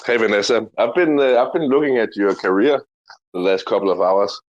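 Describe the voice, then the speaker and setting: Dull lo-fi low-pitched man's voice. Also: fairly quick. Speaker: show regular. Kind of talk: casual chat. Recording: dull lo-fi connection.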